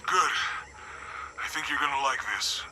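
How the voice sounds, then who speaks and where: A loyal, protective adventuring companion. His voice is a little higher than most men's. The delivery is natural video game cutscene dialogue. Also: fairly even intonation, normal speed, pushed and bright, slight background noise, rough recording. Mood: concerned.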